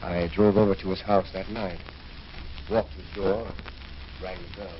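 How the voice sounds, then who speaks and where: An actor playing a sober, sceptical physician, speaking gravely and firmly in an old radio horror drama. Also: male voice, sits low, little pitch movement, close to an everyday voice. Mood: grave, foreboding. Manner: grave, measured, firm. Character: sober, sceptical male physician recounting a haunting. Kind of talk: 1940s radio drama acting. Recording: muffled, noisy old radio recording.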